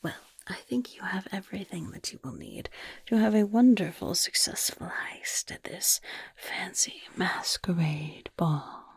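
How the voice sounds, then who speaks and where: Woman playing a whispering, creaky goblin rogue. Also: medium pitch, dry recording, exaggerated voice. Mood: encouraging. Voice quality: soft breathy whisper with creaky edges. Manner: coaxing, unhurried, repeats words for emphasis. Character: friendly, sly female goblin rogue quartermaster. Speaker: roleplay ASMR performer. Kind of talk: whispered ASMR roleplay.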